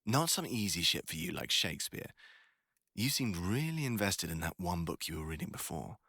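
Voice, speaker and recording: A man's voice: low voice, sing-song, moderate pace, bright, forceful tone, breathy edge, no room sound, studio quality, full character voice. A young man playing a teasing, foul-mouthed college student crush in a casual boyfriend roleplay ASMR. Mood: playful teasing. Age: young adult. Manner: casual, slangy, teasing, sweary.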